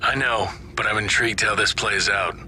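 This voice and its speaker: A cocky, taunting field agent in a video game. His voice is low and smug, with theatrical delivery and clear noise behind it. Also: moderate pace, pushed and bright, rough recording. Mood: intrigued, smug.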